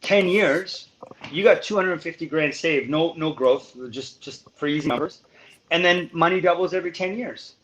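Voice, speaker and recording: Emphatic man with a slightly high voice. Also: a bit bright, slight background noise, low-quality recording. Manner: emphatic and explanatory, often slowing to stress points. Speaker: podcast guest giving money advice. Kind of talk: casual podcast chat.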